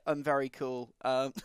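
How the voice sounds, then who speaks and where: A woman hosting a let's play, chatting casually about the game with wry, deadpan jokes. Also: sits low. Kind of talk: casual gameplay commentary.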